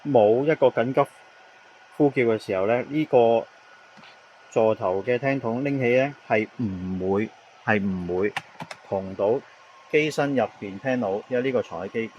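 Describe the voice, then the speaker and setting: A man with a Hong Kong Cantonese accent giving an unscripted product demonstration, explaining in halting phrases with English words mixed in. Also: lowish voice, muffled recording, slight background noise.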